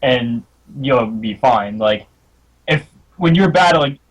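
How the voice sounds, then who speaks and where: Husky young man losing his voice. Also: mid-range voice, expressive, takes it slowly, poor audio. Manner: laid-back, leans on "you know". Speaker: co-host, league staff. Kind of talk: casual livestream chat.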